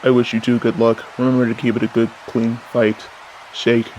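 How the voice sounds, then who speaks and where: Fight referee, male actor: businesslike, formal, monotone, mid-pitched, faint noise. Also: moderate pace, lightly acted, poor audio.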